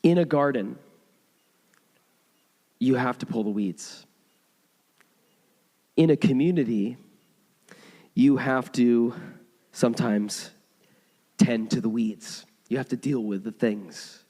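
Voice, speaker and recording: A hoarse man in his thirties preaches in a church sanctuary, his voice carrying a long hall reverb. He talks in a loose, conversational way, often restarting his sentences as he tells a story. His pitch sits in an ordinary middle range. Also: takes it slowly, pauses often, mellow. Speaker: pastor. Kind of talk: sermon.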